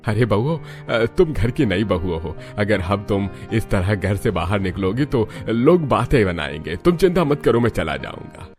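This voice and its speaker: Man as low-voiced kindly older father-in-law. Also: very animated, normal speed, few pauses, a little mellow, faint music behind. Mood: reassuring.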